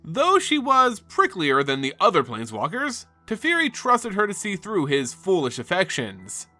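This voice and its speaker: Bright, high-voiced man narrating dramatically. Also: wildly swooping pitch, normal speed. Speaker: host and narrator of a lore channel. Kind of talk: dramatic scripted story narration. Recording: music behind the voice. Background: background music.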